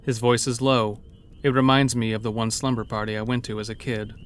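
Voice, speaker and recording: A man's voice: medium pitch, little pitch movement, brisk, soft background music. A man narrating a scripted first-person horror story as an uneasy logging worker.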